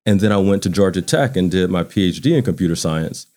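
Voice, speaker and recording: American guy in his 40s, really low mellow voice, telling stories casually like an interview guest. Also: animated, quick pace. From Baton Rouge, Louisiana, United States. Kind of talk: casual conversational storytelling.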